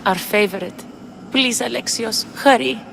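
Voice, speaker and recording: A woman's voice: a little high, measured pace, vocal fry, low-quality recording. A woman playing a grief-stricken woman searching for her lost love, in dramatic video game acting. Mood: tender, pleading.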